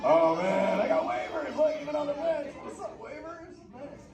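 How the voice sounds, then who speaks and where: A man's voice: extremely high pitch, animated, moderate pace, over a noisy crowd. A man voicing a laid-back, slangy surfer-dude male sea turtle, talking playfully with a live audience. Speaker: live show character performer. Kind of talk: live interactive audience show. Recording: echoey theater from far off, noisy.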